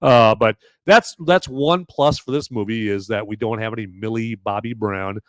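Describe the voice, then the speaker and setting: A man's voice: lowish voice, moderate pace, dry recording. A man hosting a live movie review podcast, rambling in a jokey, irreverent way. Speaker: podcast host.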